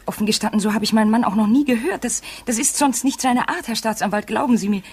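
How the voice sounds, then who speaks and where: A woman's voice: a little high, fast talker, a little mellow, breathy edge. An actress playing an anxious but dignified wife of a missing man in a 1950s radio play, formal and insistent. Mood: distressed, formal.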